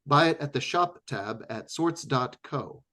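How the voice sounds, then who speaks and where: A man reading scripted host announcements for a radio theatre show in a measured, wryly formal way. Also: mid-range voice, lively intonation, pushed and bright.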